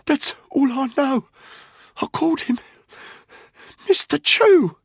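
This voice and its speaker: Gasping, high, cracking wounded prisoner. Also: male voice, animated, slow, dry recording, studio quality, full character voice. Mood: panicked. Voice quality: breathy, cracking whisper. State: short of breath, in pain. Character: badly wounded male prisoner, gasping, broken and terrified.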